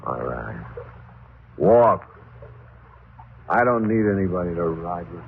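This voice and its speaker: Exhausted haunted driver in old radio show, lowish jumpy voice, tinny hissy sound. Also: male voice, big pitch swings, slow, creaky, exaggerated voice. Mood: tired, defensive. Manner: weary, jittery, defensive. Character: haunted, exhausted, defensive man driving at night. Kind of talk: old-time radio drama acting. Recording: tinny band-limited old radio recording, hissy noise.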